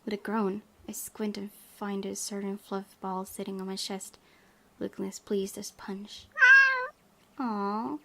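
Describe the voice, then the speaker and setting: A woman hosting a gaming video, reading story text aloud and chatting informally about it with expressive reactions. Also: medium pitch, measured pace, creaky, slight background noise. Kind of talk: reading a game's narration aloud with casual commentary.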